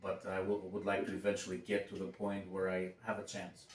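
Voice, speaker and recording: A man with a Greek accent hosting a podcast, talking casually with many halting restarts. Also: low voice, monotone, roomy sound, far from the mic, slight background noise. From Greece. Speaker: podcast host. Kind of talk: casual interview chat.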